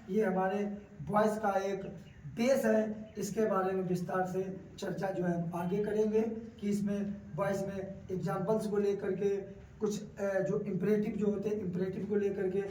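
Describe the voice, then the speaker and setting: A man's voice: high-pitched, a little mellow. A man with a North Indian accent teaching grammar in an explanatory, didactic way, repeating key phrases for emphasis. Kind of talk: classroom grammar lesson, explanatory. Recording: roomy echo, distant mic, faint noise.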